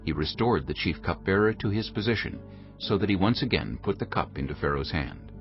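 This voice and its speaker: Deep, expressive male scripture narrator. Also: highs cut off, faint music behind. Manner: measured, dramatic, formal. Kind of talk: solemn dramatized scripture narration.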